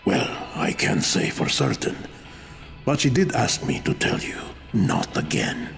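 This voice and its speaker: A man's voice: lowish voice, sing-song, a little slow, gravelly, fairly bright tone, soft background music, full character voice. An actor playing a guarded young male survivor in a video game, talking in a dry, matter-of-fact manner.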